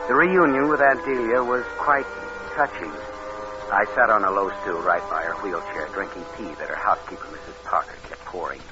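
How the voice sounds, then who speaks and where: Actor as a low-voiced, cold scheming radio killer. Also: male voice, fairly quick, over music, close to an everyday voice. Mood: cold, matter-of-fact. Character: cold, scheming male killer narrating his own impersonation plot. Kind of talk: 1940s-50s radio thriller acting. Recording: noisy, dull vintage radio recording.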